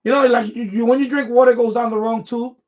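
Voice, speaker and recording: A man hosting a live stream in casual, unscripted chat, speaking haltingly and often repeating words and phrases. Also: very high voice, fairly even intonation. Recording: dull, lo-fi microphone sound.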